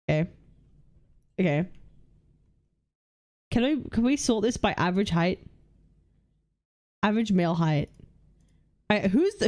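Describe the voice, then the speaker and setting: Casting: young woman streamer, fairly high voice, blunt and crude, chatty and offhand. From United Kingdom. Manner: blunt, swears freely, thinks aloud while reading lists. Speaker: livestream host. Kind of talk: casual livestream chat reading chat aloud.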